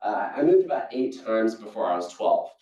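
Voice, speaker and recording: A young man from Singapore giving a keynote talk, telling stories in a lively way with many restarts. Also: moderate pace. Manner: storytelling, animated with jumps in pitch and frequent restarts. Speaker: keynote speaker. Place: conference hall. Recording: echoey hall, distant and dull.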